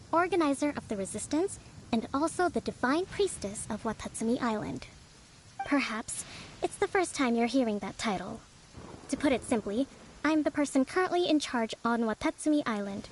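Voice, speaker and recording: A young priestess and leader from a video game. She speaks in a high, gentle voice, polite and composed in her formality. Faint background noise is present. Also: animated, moderate pace, rough recording. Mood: courteous, composed. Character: gentle, courteous young priestess leading a resistance.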